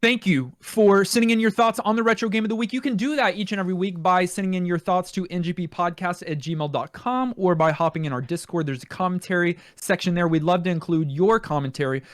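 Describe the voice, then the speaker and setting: Male podcast host, on the higher side, conversational, reads listener mail, dry recording. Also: quick pace, few pauses, dry recording. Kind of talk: casual podcast talk, reading listener comments aloud.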